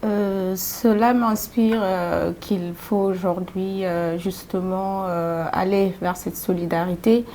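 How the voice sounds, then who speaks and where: A woman answers interview questions in a studio. Her voice has an average pitch, and her delivery is halting, with repeated words. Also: steady tone, warm tone, a little hiss or hum. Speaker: development programme representative, studio guest.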